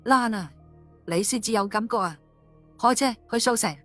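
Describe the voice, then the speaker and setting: A woman's voice: on the high side, moderate pace, fairly bright tone, dry recording, soft background music, close to an everyday voice. A woman playing an angry, suspicious policewoman and wronged wife in an audiobook drama, scolding bluntly. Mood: irritated.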